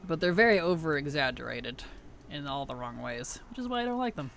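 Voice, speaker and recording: A woman game streamer chatting casually and playfully on a livestream, her tired voice starting to weaken and turn gravelly. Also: low voice, expressive, fairly quick, faint music behind. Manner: playful, teasing, chuckles often. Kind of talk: casual livestream chat over gameplay.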